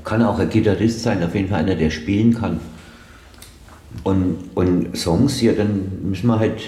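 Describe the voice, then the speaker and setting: Guy acting easygoing bavarian drummer, really low voice, dry humour, roomy sound. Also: normal speed, mellow, lightly acted. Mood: grumbling. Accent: southern German, Bavarian. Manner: colloquial, dryly joking. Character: laid-back, beer-loving male rock band drummer. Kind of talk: naturalistic radio drama dialogue.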